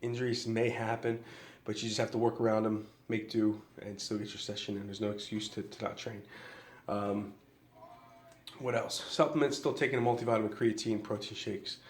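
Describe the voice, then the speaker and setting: A man's voice: fairly low pitch, steady tone, moderate pace, roomy sound. A man vlogging a casual fitness update, a bit nasal from a cold, loose and rambling with many ums and restarts.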